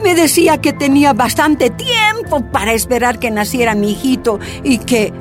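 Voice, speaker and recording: A woman's voice: high voice, moderate pace, soft background music, natural voice. An actress playing a tortured woman activist, recounting her imprisonment in anguished, emphatic first-person narration. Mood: pained.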